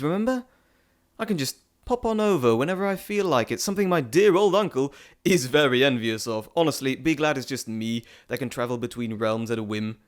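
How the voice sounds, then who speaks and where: A man's voice: mid-range voice, lightly acted. Playful immortal messenger god played by a man, mid-range voice, fond and teasing, intimate roleplay delivery with theatrical asides. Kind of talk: intimate audio roleplay to listener.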